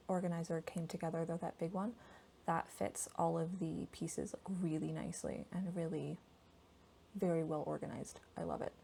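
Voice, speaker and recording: A woman speaking softly and unhurriedly in a whispery, casual ASMR chat style, as the host of a hobby channel. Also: on the low side, gravelly. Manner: soft-spoken, whispery, unhurried. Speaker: host of a crafting and board game channel.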